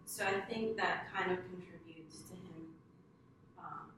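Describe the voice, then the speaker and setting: A woman whose first language is Tagalog, answering questions about her husband in a clinical interview, hesitant and soft-spoken with many fillers. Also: fairly low pitch, unhurried. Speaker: client's wife in case history interview. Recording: echoey room, distant mic, audible noise.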